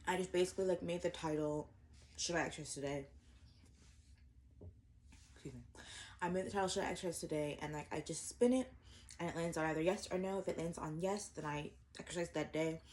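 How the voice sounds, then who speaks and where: A woman's voice: low-pitched, fairly quick, long pauses, a little mellow. A woman in her twenties chatting casually to camera while eating breakfast at home, rambling and self-deprecating with lots of fillers and restarts. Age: 20s. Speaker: vlogger. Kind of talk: casual eat-with-me chat to camera.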